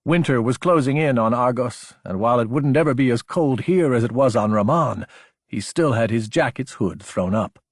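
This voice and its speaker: A man's voice: mid-range voice, expressive, normal speed, no room sound, studio quality. A man reading scripted fiction as an audiobook narrator.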